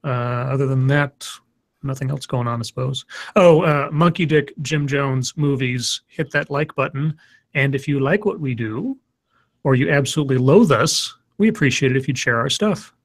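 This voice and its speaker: A man's voice: mid-range voice, moderate pace. A man with a slightly scratchy voice, casually reading news stories on a stream with rambling, sarcastic asides.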